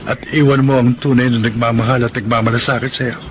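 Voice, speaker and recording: An actor playing a poor, proud, heartbroken young farmer and jealous lover in a Filipino radio drama, earnest and melodramatic. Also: male voice, medium pitch, level tone, fast talker, a little hiss or hum, close to an everyday voice. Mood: bitter, wounded. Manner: melodramatic, earnest, self-pitying. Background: background music.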